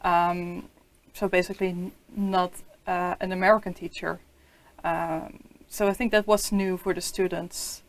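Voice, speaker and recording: A woman's voice: fairly low pitch, little pitch movement, measured pace. A young woman with a Dutch accent, a student teacher reflecting hesitantly on a video call. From Netherlands. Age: young adult. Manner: hesitant, many fillers. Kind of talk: casual reflection.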